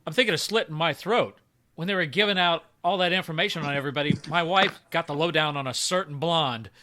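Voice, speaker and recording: A man's voice: fairly high pitch, fast talker, bright, forceful tone. A man acting a glum, resigned repairman sidekick in a radio comedy. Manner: gloomy, resigned. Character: glum, wry male repairman coworker.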